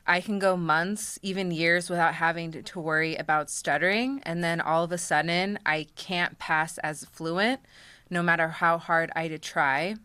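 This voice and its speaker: A woman's voice: on the low side, bright, forceful tone, clear, resonant tone, no room sound. A young woman who stutters, giving a candid prepared speech and introducing speakers at a press conference. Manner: stutters with frequent sound and word repetitions; candid, light self-deprecating asides. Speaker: podcast host and press conference emcee.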